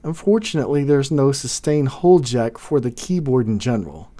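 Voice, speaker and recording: A male reviewer narrates a scripted product review from his home studio. His tone is mellow and soft-edged, and his phrasing is casual and folksy. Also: mid-range voice, animated, fairly quick.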